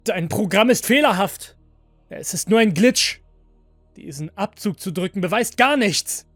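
A man playing an agitated, frustrated master of androids in an audio story, forceful and pleading. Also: high voice, animated, a little slow, no room sound, soft background music, voice pushed up, exaggerated voice. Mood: alarmed.

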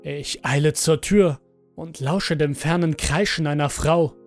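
A man narrates a first-person horror story in a deliberate, dramatic way. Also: a little high. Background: background music.

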